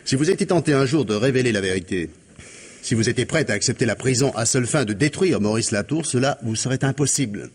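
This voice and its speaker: An actor playing a stern, implacable police investigator in 1950s French radio mystery style, commanding and deliberate, with a husky voice. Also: male voice, medium pitch, expressive. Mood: insistent. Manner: commanding, deliberate, articulate, dictating orders.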